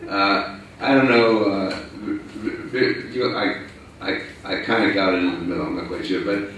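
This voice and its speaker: Audience member, very deep voice, stammering, far from the mic in an echoey hall. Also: male voice, fairly even intonation, normal speed, fairly bright tone, slight background noise. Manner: stammering, unsure.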